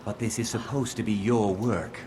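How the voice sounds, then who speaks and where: A man's voice: on the low side, steady tone, moderate pace, over music, close to an everyday voice. An actor playing a devout, earnest male pilgrim in a fantasy video game, speaking formally. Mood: dismayed, pleading. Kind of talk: scripted video game dialogue. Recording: game dialogue with clear background noise.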